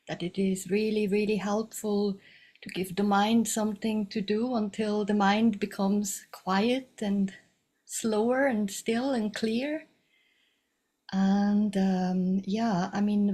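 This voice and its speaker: A woman from Switzerland, a yoga teacher and coach, introducing herself in a calm, earnest way. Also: medium pitch. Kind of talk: casual self-introduction.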